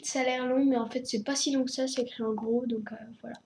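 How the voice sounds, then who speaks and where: A woman reading a children's story aloud, slowly and hesitantly, stumbling over words. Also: high-pitched, steady tone, heavy background noise. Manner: slow, hesitant reading with fillers.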